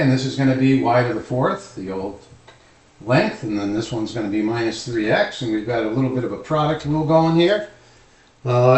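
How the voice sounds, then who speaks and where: A man teaching calculus informally at a board, thinking aloud as he explains each step. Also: mid-range voice. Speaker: math teacher. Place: indoor room, at a board. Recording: echoey room, distant microphone.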